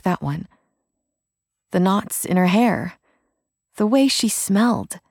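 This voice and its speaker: A woman's voice: medium pitch, gravelly, darkish tone, slightly rough, no echo, clean studio sound, heavily acted. A woman voicing a stubborn, perceptive young fantasy sister, earnest and challenging, in an audiobook.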